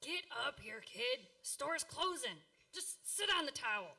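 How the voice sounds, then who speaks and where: A woman acting a brusque Christmas elf in a radio play, out of patience. The voice is high and piercingly bright, swinging in pitch as it barks curt orders. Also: sing-song, measured pace, exaggerated voice. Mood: impatient. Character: impatient, brusque department store Santa's elf.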